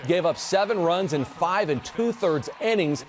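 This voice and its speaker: A man anchoring a local TV sports desk, reading highlights in a brisk, punchy manner. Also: lively intonation. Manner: brisk, punchy play-by-play delivery.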